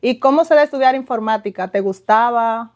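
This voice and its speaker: A woman's voice: on the high side, fairly quick, highs cut off. A woman hosting a podcast, interviewing her guest with an encouraging manner. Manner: encouraging, asks questions warmly. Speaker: podcast host.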